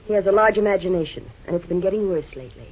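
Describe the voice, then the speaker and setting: A sultry scheming wife. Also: female voice, fairly low pitch, lively intonation, dull audio. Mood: insistent. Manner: smooth and coaxing, drawn-out and insinuating. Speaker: client's wife trying to buy off the detective. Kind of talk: 1940s radio acting.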